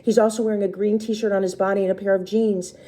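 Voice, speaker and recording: A woman's voice: medium pitch, flat delivery, fast talker, dark tone. A woman storyteller reading a children's book aloud expressively, with brief explanatory asides to listeners.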